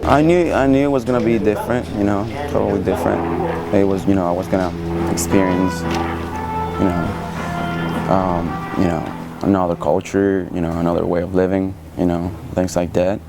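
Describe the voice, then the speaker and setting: A man's voice: deep voice, steady tone, normal speed, over music. A young man from Cuba with a Cuban Spanish accent, a student interviewed, speaking hesitantly with many fillers. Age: young adult.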